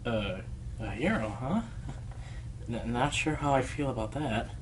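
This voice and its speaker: A man's voice: medium pitch, a little slow, slight background noise, close to an everyday voice. A man playing an earnest young pony radio host in a radio play, hot-tempered but unsure of himself. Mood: humbled, unsure. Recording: loud music behind the voice.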